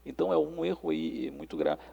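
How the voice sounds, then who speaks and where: A Brazilian man with a husky, creaky voice talks like a preacher in conversation. He instructs and exhorts, often checking for agreement. His voice trails off in long reverb. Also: medium pitch, very animated, brisk, dark tone, lo-fi sound. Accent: Brazilian Portuguese.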